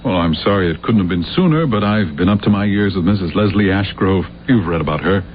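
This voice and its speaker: An actor playing a loyal, practical and skeptical friend with a measured conversational manner in a 1970s radio drama. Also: male voice, sits low, animated. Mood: matter-of-fact. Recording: tinny, hissy old radio recording with hum.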